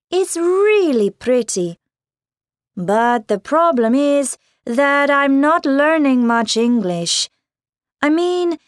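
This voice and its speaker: An actress reading a scripted listening exercise as a young Portuguese woman working abroad, in a slow, clear, carefully paced delivery. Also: on the high side, no echo, studio quality. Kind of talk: scripted textbook listening monologue.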